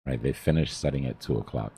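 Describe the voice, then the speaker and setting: A man's voice: extremely low pitch, fast, dark tone, slight background noise. A man hosting a live trading stream, talking casually like a mentor in a blunt, emphatic way and repeating himself for stress.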